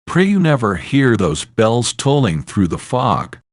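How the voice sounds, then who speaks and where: A man's voice: mid-range voice, very animated, a little slow, no room sound, clean studio sound. A man narrating a scripted horror story in an ominous, theatrical and solemn manner.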